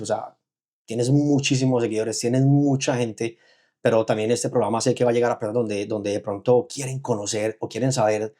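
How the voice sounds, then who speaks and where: A man's voice: fairly low pitch, mellow. A man hosting a podcast, opening a question in a friendly, casual way with repeated starts. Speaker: podcast host, interviewer.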